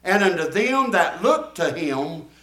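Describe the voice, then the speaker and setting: Older preacher in an echoey church. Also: male voice, high-pitched, big pitch swings, unhurried, bright, forceful tone. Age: 70s. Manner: folksy, emphatic preaching with audience call-and-response. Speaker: pastor. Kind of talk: sermon.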